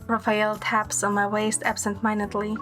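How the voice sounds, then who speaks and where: A woman playing a gentle young heroine, narrating her romance in the first person as scripted audio drama. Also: mid-range voice, completely flat delivery, moderate pace, faint music behind, natural voice.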